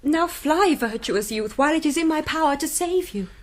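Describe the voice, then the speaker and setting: An actress with a British accent playing an anxious, gentle young noble maiden in a gothic radio drama, with formal period diction. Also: female voice, sits high, expressive, normal speed. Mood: anxious, urgent.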